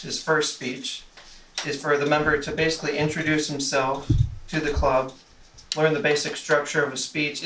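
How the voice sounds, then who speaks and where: A man hosting a club meeting, introducing a speaker semi-formally in a loose, self-correcting way. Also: fairly high pitch. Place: meeting room, recorded from a distance. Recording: echoey room, distant mic, clear noise.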